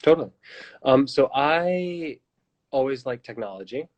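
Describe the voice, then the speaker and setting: A man in his thirties from the United States, a filmmaker guest, answering interview questions in a relaxed, matter-of-fact way with ums, heard over a phone on a video call. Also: medium pitch, measured pace.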